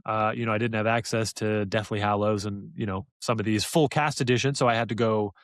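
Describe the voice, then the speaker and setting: A man's voice: lowish voice, steady tone, brisk, smooth, no room sound, clean studio sound. A man co-hosting a podcast in casual review discussion, stressing points by repeating his phrases. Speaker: podcast co-host.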